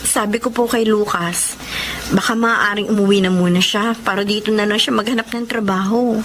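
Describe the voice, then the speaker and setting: A woman's voice: medium pitch, fairly even intonation, moderate pace, fairly bright tone, slight background noise, natural voice. A woman playing an earnest, troubled young wife and mother in a Filipino radio drama, polite and respectful. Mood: troubled resolve. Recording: music clearly behind the voice.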